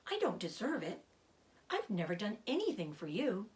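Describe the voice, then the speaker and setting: A woman's voice: mid-range voice, normal speed. A woman voicing an earnest, emotional young male pig in a children's storybook, pleading and devoted. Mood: moved, humble. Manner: pleading, excitable, swooping upward. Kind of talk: children's storybook read-aloud.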